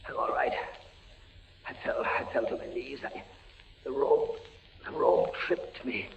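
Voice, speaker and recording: An actor playing a frail, desperate condemned prisoner in a halting, breathless, theatrical 1940s radio style. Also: male voice, mid-range voice, expressive, gravelly, background noise. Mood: frightened. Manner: halting, breathless, theatrical delivery with repeated words. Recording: muffled 1940s radio recording with music.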